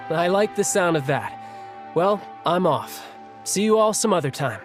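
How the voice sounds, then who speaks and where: A man's voice: high-pitched, animated, a little slow, faint music behind. An actor playing a breezy, battle-loving young fighter in a video game, casual and easygoing in his talk.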